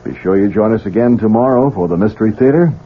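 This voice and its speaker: A man's voice: lowish voice, fast, husky. A man reading scripted sponsor announcements as a station announcer. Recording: tinny vintage radio broadcast.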